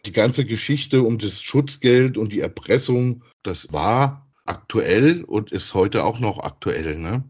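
A man's voice: fairly low pitch, expressive, slow pace, hardly any pauses, a little mellow, husky. A man co-hosting a podcast, chatting casually and with some amusement as he reviews audio dramas. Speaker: podcast co-host.

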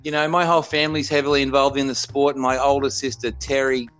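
An Australian man in his fifties talks through his coaching career in an easy, rambling way, backing up and correcting himself as he goes. His voice sits at a middle pitch for a man. Faint music runs underneath. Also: flat delivery, fast, a bit bright. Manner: rambling, self-correcting storyteller. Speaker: college basketball head coach. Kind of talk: casual podcast interview.

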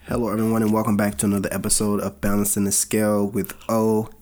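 A man co-hosting a casual podcast, his voice sitting low and a touch raspy because he has a cold. He talks earnestly and loosely, with plenty of ums and restarts. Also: flat delivery, fast talker, a little mellow, soft background music. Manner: earnest, loose, with many fillers. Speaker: podcast co-host.

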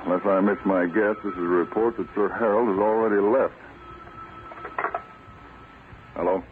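Low-voiced, commanding counterspy chief played by a man, scratchy old radio. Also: steady tone, narrow, tinny audio, natural voice. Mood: clipped, decisive. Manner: terse, authoritative, measured. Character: calm, commanding male counterspy chief, Mister Harding. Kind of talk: old-time radio drama acting. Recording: scratchy, band-limited old radio recording.

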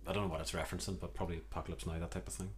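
A man's voice: low-pitched, level tone, racing. A man co-hosting a podcast, chatting casually and making dry, wry asides. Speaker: podcast co-host.

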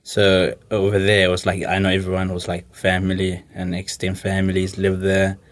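Low, even-voiced young man. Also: moderate pace. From Somalia. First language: Somali. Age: 20s. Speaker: interview guest. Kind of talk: informal interview answers.

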